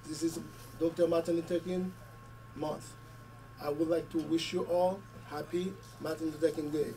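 Middle-aged man, hoarse from a cold, earnest podium speech, short paused phrases, heard from a distance. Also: a little high, level tone, mellow. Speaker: school committee member. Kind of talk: community meeting speech. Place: community meeting room. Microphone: podium mic, camera at a distance. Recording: distant mic with faint room noise.

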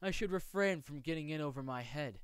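Man acting coolly formal young swordsman, crisp voice. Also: on the high side, expressive, no room sound, clean studio sound, natural voice. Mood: cool, composed. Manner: formal, measured, precisely enunciated. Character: polite, aloof young male swordsman, coolly menacing. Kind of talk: amateur voice acting showcase of manga lines.

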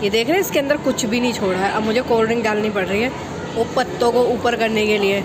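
High-voiced chatty woman vlogging. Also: quick pace. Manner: chatty, teasing, addresses viewers as guys. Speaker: family vlogger. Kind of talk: casual vlog chat to camera. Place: outdoors in a busy city and a shopping mall. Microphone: phone camera. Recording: loud crowd and street noise. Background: crowd and traffic noise.

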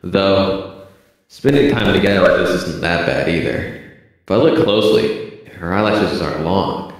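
A man voicing a smitten, exasperated teenage boy musing to himself in a comic dub, with an echoing thought effect. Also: sits low. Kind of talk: comic dub inner monologue. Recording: heavily echoing, reverberant voice.

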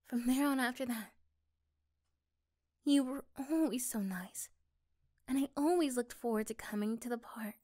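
A woman playing a gentle, affectionate young girlfriend in an ASMR roleplay, speaking softly and hesitantly with tender pauses. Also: a little high, natural voice. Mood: fond, reminiscing. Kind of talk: ASMR roleplay, intimate one-sided dialogue.

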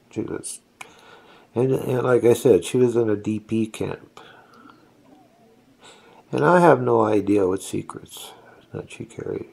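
Man, 60s, Estonian first language, home vlog on phone: deep, slow, reflective life story with restarts. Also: gravelly, a little mellow, breathy edge. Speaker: vlogger. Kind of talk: personal storytelling. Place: indoors, seated on a couch at home. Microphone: phone camera held close.

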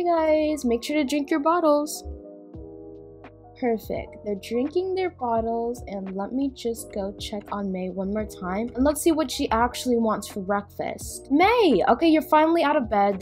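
A woman's voice: on the high side, lively intonation, measured pace, darkish tone. A young woman voicing a busy mom in a game roleplay, chatting as she narrates each task she does. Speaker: roleplay channel host playing a mom. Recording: faint background music.

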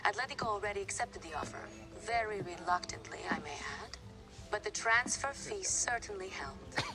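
A woman playing a confident, businesslike football agent, speaking in a measured, formal way in a game cutscene. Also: mid-range voice, music in the background, lightly acted. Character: assured, businesslike female football agent on a call. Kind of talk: naturalistic game cutscene acting.

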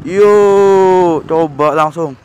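A young man chatting excitedly in a casual riding vlog, recorded on a helmet camera while on a motorbike in the city. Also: high-pitched, extremely slow. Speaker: motovlogger. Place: on a motorbike on city streets, outdoors. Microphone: helmet-mounted action camera. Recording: loud wind and traffic noise.

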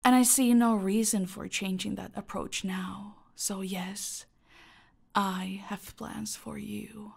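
Audio roleplay villain: actress as a gloating female cartel boss, gravelly fry, unhurried, menacing, slipping into low-volume murmurs. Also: steady tone, heavily acted. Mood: smug, menacing. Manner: slow, taunting, commanding, often dropping to breathy murmurs.

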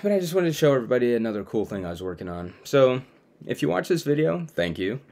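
A man's voice: medium pitch, big pitch swings, quick pace, darkish tone. A young adult man giving a casual, conversational demo to viewers as a video creator. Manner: informal, conversational. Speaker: video creator presenting his own web app. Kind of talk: casual screen-share demo, talking to viewers. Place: small room at home, indoors.

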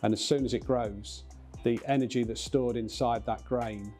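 A man in his fifties talks about his brewery in a documentary interview. His voice is dark and mellow, of middling pitch and very even in tone. Light music plays underneath. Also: normal speed. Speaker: brewery owner or worker. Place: brick-walled room indoors.

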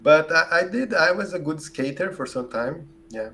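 A man in his forties with a Brazilian Portuguese accent, answering interview questions in a hesitant way full of fillers and restarts, on a boom-arm studio mic in a home office. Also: lively intonation, moderate pace, sharp tone, a little hiss or hum. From southern Brazil. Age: 40s. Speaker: guest. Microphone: large studio mic on a boom arm.

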